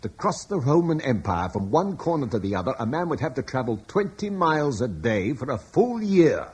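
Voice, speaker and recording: An earnest landowner devoted to the memory of Rome. His husky, mid-pitched voice rises and falls expressively as he argues with eloquent persuasion in a classic radio play. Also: very animated, moderate pace, a little mellow. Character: earnest Romano-British landowner who reveres Rome. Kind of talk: classic radio drama acting.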